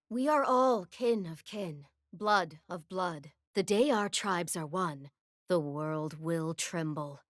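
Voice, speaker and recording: A woman's voice: lowish voice, no echo, studio quality. A woman playing a proud, resolute young warrior queen of a frozen northern tribe in a video game, with a solemn, declamatory delivery. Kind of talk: video game character voice lines.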